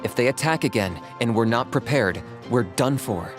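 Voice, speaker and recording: A man's voice: mid-range voice, expressive, normal speed, music in the background, natural voice. An actor playing a serious, loyal warrior aide in a video game, earnest and grave in his delivery. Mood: concerned. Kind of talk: dramatic video game voice acting.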